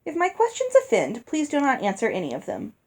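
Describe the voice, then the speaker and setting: Woman reading as polite curious young girl, storybook letter, kinda high voice. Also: wildly swooping pitch, brisk, a little mellow, full character voice. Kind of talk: storybook letter read aloud.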